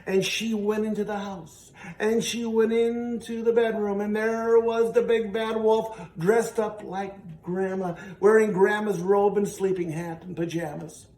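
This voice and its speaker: A man telling a children's story in an animated way, haltingly, repeating phrases for emphasis. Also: high-pitched. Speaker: storyteller and show host. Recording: roomy, distant, faintly noisy.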